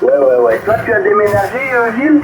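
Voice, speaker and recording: A man's voice: high-pitched, very animated, a little fast. An older man with an accent from France, chatting amiably as a CB caller, his voice coming through a radio transmission. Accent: French from France. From Brittany, northwest France. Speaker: CB radio operator calling in. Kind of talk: casual radio chat. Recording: muffled noisy CB radio reception, roomy. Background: radio static noise.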